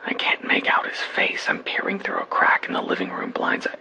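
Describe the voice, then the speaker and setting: A man's voice: a little fast, bright, forceful tone, in a whisper. An actor playing an earnest male scientist leaving hesitant, apologetic, matter-of-fact voicemail messages in a fiction podcast. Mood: frightened whispering. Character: earnest scientist man phoning in urgent, puzzled voicemails.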